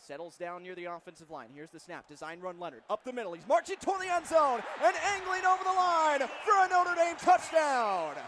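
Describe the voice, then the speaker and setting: Man, high-pitched voice, football radio announcer, live play-by-play, clipped phrasing, faint stadium noise behind. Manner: clipped present-tense narration of action. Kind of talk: live play-by-play sports commentary. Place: football stadium broadcast position.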